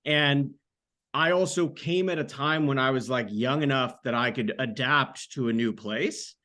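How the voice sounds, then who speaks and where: A man's voice: medium pitch, measured pace, bright, forceful tone. A man in his thirties talking casually and discursively as an interview guest, full of informal asides. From United States, born in France. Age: 30s. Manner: rambling, informal, frequent "like" asides. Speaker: podcast guest, author.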